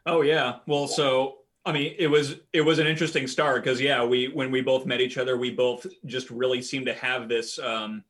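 A man's voice: mid-range voice, flat delivery, moderate pace, hardly any pauses, fairly bright tone. A young man, a podcast guest, talking earnestly and at length in a casual interview, with many fillers.

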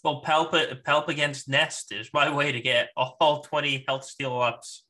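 A man's voice: a little high, steady tone, unhurried, bright, forceful tone. A man co-hosting a gaming podcast, chatting in an informal, conversational way. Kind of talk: casual chat about a mobile game.

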